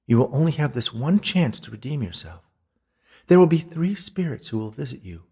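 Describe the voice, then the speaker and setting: Storybook old lady ghost male reader: raised pitch, husky, admonishing, earnest, swooping. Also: sing-song, normal speed, mellow, heavily acted. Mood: solemn warning. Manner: solemn, exhorting. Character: elderly woman ghost, earnest admonishing messenger.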